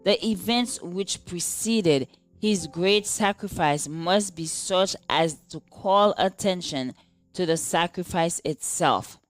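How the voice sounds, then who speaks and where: Slow, low-pitched woman reading a devotional. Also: no room sound, poor audio. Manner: measured, deliberate. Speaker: devotional reader. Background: background music.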